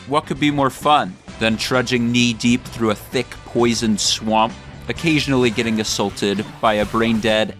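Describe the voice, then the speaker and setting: A man's voice: fairly low pitch, fairly bright tone. A man delivering scripted satirical video commentary in a mock-serious way, with exaggerated theatrical emphasis. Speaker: video creator and narrator.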